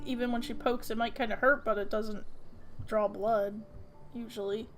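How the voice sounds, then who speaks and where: A woman's voice: fairly high pitch, fairly even intonation, moderate pace. A woman chatting informally and casually about games with a friend. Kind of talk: casual chat. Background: loud game music.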